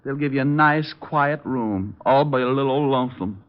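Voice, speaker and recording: An actor playing a weary, sardonic private detective in an old radio show, dry and flippant. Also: male voice, mid-range voice, moderate pace, lightly acted. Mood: exasperated, flippant. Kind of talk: 1940s radio detective acting. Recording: dull old radio recording.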